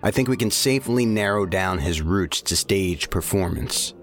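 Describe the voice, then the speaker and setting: An actor playing a blunt, stubborn special agent in an audio drama. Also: male voice, deep voice, lively intonation, normal speed. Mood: pensive, puzzled. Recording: faint music behind the voice.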